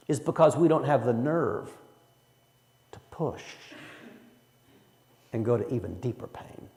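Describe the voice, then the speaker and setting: A man's voice: medium pitch, dark tone, long reverb tail. A man leading a church Bible study in a folksy way, asking the room questions as he teaches. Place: church hall.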